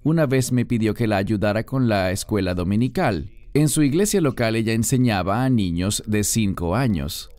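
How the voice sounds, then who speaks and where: A man's voice: fairly low pitch, expressive, normal speed, few pauses, a little mellow, dry recording. A man being interviewed as a guest, telling his personal story in an earnest, storytelling way, heard as a Spanish voice-over.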